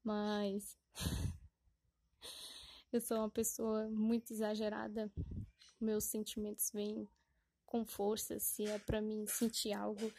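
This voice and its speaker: A woman's voice: medium pitch, completely flat delivery, takes it slowly, long pauses, warm tone. A woman hosting a casual solo podcast, rambling and correcting herself with lots of fillers, often laughing at herself. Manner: self-deprecating and laughing at herself. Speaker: podcast host.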